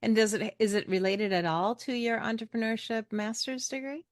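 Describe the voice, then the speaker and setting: A woman's voice: a little high, fairly even intonation, moderate pace, no echo, clean studio sound. A middle-aged American woman hosting a podcast in a casual, chatty, joking way. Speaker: podcast host.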